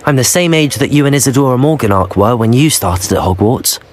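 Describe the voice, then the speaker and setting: A man's voice: mid-range voice, lively intonation, a little fast, a little hiss or hum, close to an everyday voice. A young man playing a polite, earnest teenage wizard student in a video game. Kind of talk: video game character acting.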